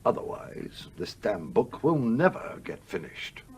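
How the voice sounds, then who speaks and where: A gruff, blustering ghostly sea captain. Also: male voice, fairly low pitch, sing-song, unhurried, a little mellow, faint music behind. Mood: grumbling. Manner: blustering, swearing, commanding. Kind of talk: British radio play acting.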